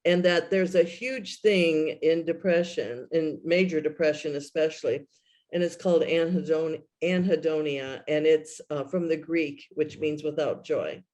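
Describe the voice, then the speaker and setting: A woman's voice: sits low, darkish tone. A woman speaking as a therapist in a conversational panel chat, with a candid, emphatic manner. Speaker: guest therapist. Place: remote video call.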